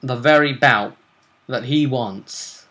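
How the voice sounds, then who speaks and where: A man's voice: mid-range voice, slow pace, sharp tone. A man hosting a casual role-play tournament chat, building up the fighters with teasing drama. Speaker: host of a tabletop role-play vidcast.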